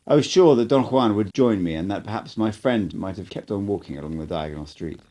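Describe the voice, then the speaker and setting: A man reading a first-person story aloud as an amateur audiobook narrator, with an expressive, dramatic delivery. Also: lowish voice, brisk.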